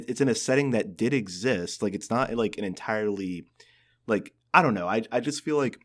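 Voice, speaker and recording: A man co-hosting a podcast, chatting informally and giving his opinions on games. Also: fairly low pitch. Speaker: podcast co-host. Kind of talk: casual podcast chat about games.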